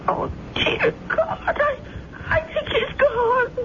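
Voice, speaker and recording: A woman's voice: extremely high pitch, slow, heavy background noise. A woman playing a timid, flustered older landlady in a 1970s radio drama, anxious and kindly, with a hesitant, stammering delivery. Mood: distressed, fearful. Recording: dull old radio recording.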